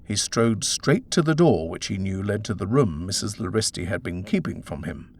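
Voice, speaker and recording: Low, gravelly male narrator. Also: lively intonation, normal speed, slight background noise. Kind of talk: dramatic horror audiobook narration.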